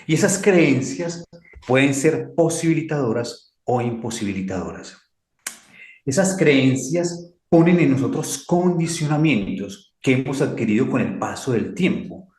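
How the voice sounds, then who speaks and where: A man from Colombia with a Colombian accent, talking as a mentor in a measured, reflective way. He leans on key phrases and says them twice. His voice has a roomy echo around it. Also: medium pitch, darkish tone. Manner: slow, emphatic, repeats key phrases. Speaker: guest speaker, mentor. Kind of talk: reflective talk.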